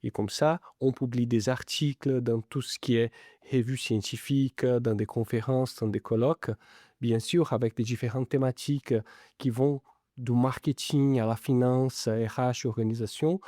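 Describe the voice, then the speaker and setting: A man's voice: mid-range voice, steady tone, moderate pace, mellow, dry recording, clean studio sound. A man from Italy and Brazil speaking French with an Italian-Brazilian accent, a non-native speaker answering interview questions as a lecturer-researcher, hesitating often with fillers. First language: not French. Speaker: business school lecturer-researcher.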